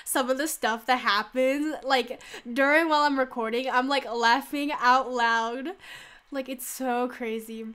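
Teen girl, high bright voice, slightly stuffy nose, chatty rambling gamer talk. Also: steady tone, measured pace, smooth. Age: teens. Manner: rambling, lots of "like", yelps and laughs during play. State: stuffy from a cold. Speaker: gaming YouTuber. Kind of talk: casual gameplay chat.

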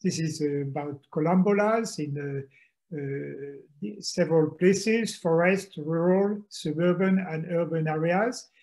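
Slow, hesitant older man. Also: fairly high pitch, darkish tone, low-quality recording. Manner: slow, hesitant delivery with many fillers. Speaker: soil scientist panelist. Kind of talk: online conference presentation. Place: home room, indoors. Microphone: video call.

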